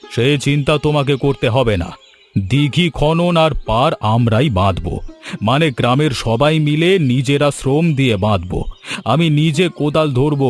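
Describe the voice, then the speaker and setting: A man voicing a calm, kind middle-aged male fish trader in a cartoon, speaking in a measured, reassuring way. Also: mid-range voice, voice pushed down, close to an everyday voice. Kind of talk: cartoon voice acting.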